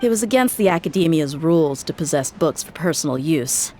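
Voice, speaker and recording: A woman's voice: low-pitched, animated, fairly quick, mellow, a little hiss or hum. A woman playing a tough, blunt young mercenary in a video game, dry, frank and wry. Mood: curious.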